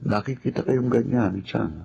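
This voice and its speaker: A man's voice: medium pitch, moderate pace, vocal fry, mellow, dull audio, a little hiss or hum. A man playing an earnest, reflective nephew who supports his family, in a Filipino radio drama. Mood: confiding. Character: adult nephew, burdened but accepting family breadwinner. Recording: music under the voice.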